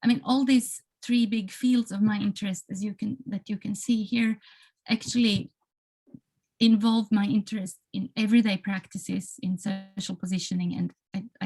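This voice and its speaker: A woman's voice: medium pitch, gravelly, warm tone. A Croatian woman with a Croatian accent giving a hesitant academic talk on a video call, full of restarts and fillers. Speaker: panelist.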